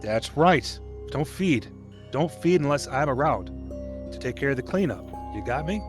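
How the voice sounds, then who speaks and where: Audio drama role: male actor as tender, halting deputy dad, guilt-ridden and protective, faint music underneath. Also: medium pitch, expressive, natural voice. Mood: protective, instructing. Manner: tender, halting, occasionally stern. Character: protective, guilt-ridden father, a deputy hiding his vampire daughter. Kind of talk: dramatic audio theatre acting.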